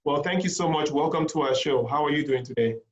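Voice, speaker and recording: A man's voice: on the high side, fast, highs cut off. A man co-hosting a casual interview, welcoming and introducing in a polite way. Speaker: show co-host. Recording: echoey room sound.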